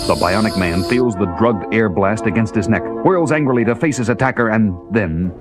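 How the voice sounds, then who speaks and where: Low-voiced dramatic male narrator. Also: expressive, normal speed, music in the background. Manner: dramatic, emphatic storytelling.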